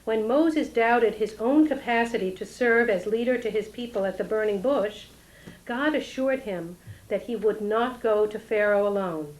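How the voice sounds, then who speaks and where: A woman giving a formal prepared welcome address at a synagogue event, measured and solemn. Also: on the high side, darkish tone, low-quality recording. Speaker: rabbinic intern giving a welcome. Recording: old analog tape.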